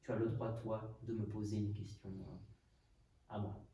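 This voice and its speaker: A man's voice: lowish voice, level tone, moderate pace, gravelly, mellow. A man from Portugal hosting a podcast conversation, thinking aloud and hesitating often. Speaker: podcast host. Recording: echoey room, mic at a distance, faint noise.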